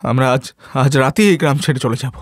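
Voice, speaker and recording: A man's voice: mid-range voice, a little fast, dry recording, studio quality, lightly acted. A man voicing a humble, caring and distressed poor father in a cartoon moral story. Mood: despairing. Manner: plaintive, earnest, repeats phrases.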